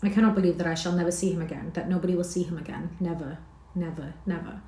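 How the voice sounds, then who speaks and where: Grieving young woman, mournful and pleading, low mellow voice in a roomy space, read by a woman. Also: steady tone, quick pace, slight background noise, lightly acted. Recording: roomy echo.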